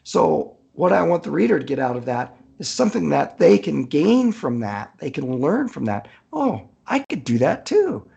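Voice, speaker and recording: Gravelly, husky man chatting. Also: fairly high pitch, big pitch swings, moderate pace, hardly any pauses, mellow, highs cut off. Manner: chatty, thinks aloud, chuckles often. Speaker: author being interviewed. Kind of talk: casual conversational interview answers.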